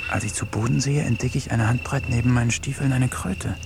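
An actor narrating a radio play as a poet, reading diary-like entries in a wry, introspective way. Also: male voice, medium pitch, brisk, vocal fry, heavy background noise, lightly acted. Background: music.

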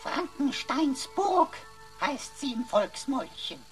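A woman's voice: high-pitched, very animated, slow, recorded from a distance, over music, full character voice. A woman playing a cheeky, theatrical talking computer sidekick in a German radio play, chattering and declaiming with mock drama.

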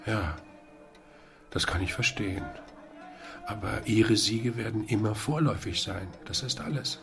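Calm, low-voiced, probing idealist. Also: male voice, measured pace, creaky, over music. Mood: thoughtful. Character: calm, probing, resolute male volunteer organiser. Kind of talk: German radio play acting. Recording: studio radio play.

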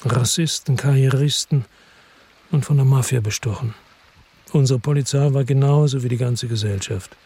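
A man's voice: mid-range voice, moderate pace, warm tone, husky. An actor playing an embittered, defiant male loner in a radio crime drama, speaking in a terse, sardonic, evasive way. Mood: bitter, brooding. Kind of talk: radio crime drama with first-person narration.

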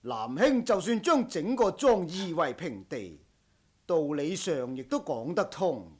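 A man's voice: on the high side, sing-song, measured pace, darkish tone, breathy edge, exaggerated voice. A man acting a proud, honourable martial-arts household master in a Cantonese radio drama, speaking in formal, stern, old-fashioned heroic language. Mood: grave, pleading.

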